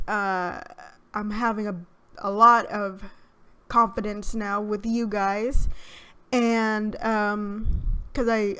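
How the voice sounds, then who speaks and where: A young woman talks slowly and softly at a home microphone, her voice set a little high. She sounds shy and hesitant, rambling through a casual game commentary with many pauses. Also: smooth. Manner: soft-spoken and shy. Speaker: gaming YouTuber. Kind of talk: casual let's play commentary.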